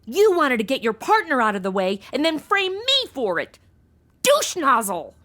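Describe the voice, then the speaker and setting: Sassy young gal, high voice that swoops around, slangy and indignant, audio comedy. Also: female voice, wildly swooping pitch, normal speed, bright, forceful tone, exaggerated voice. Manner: indignant, flippant, slangy valley-girl delivery. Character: sassy modern young woman temp turned detective's assistant. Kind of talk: comedy audio drama acting.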